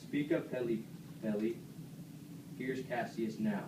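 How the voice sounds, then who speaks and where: A proud, wronged animal general acted by a man speaks in a puppet play. The voice is medium-pitched and distant, in a noisy room. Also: takes it slowly, close to an everyday voice. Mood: proud, offended. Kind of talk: amateur read-aloud puppet play. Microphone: far camera. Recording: echoey classroom, distant mic, clear noise.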